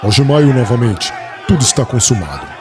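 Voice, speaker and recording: A man's voice: mid-range voice, very animated, fairly quick, noisy background, voice pushed down, close to an everyday voice. A man voicing an imperious persecutor who commands guards in a dramatized audiobook. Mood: imperious, cold. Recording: long reverb, crowd and music behind.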